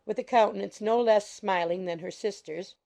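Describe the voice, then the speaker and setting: Mellow, creaky woman narrator. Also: medium pitch, moderate pace. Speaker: audiobook narrator. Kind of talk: scripted narration of classic novel.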